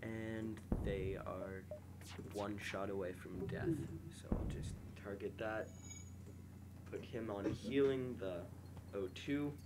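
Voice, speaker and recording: A young man with a slightly nasal, congested voice, calmly commentating a space game and reading its text aloud while muttering to himself. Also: fairly low pitch, slow pace, background noise. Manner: relaxed, mumbles quietly to himself while playing. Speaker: gameplay host.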